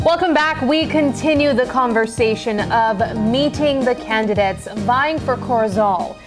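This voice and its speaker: A woman's voice: fairly high pitch, unhurried, music in the background. A woman hosting a studio interview on a morning TV show, welcoming her guests.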